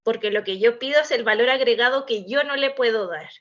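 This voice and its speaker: A woman's voice: a little high, brisk, a bit bright, lo-fi sound. A woman with a Chilean accent, an in-house legal manager speaking on a panel in a discursive, explanatory way with many hesitations.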